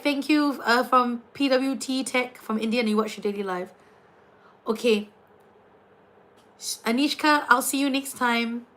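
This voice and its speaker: A young woman with a New Zealand accent, chatting casually with viewers during a late-night livestream as a tarot and astrology reader. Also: on the high side. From New Zealand, raised partly in Singapore. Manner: chatty, animated, reading out viewer names. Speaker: tarot and astrology reader hosting a live stream.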